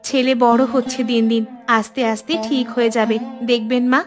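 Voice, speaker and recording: A woman's voice: on the high side, flat delivery, a little fast, music in the background, natural voice. A woman voicing a generous, patient elder sister-in-law in a village cartoon, homely and reassuring. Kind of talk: cartoon voice acting.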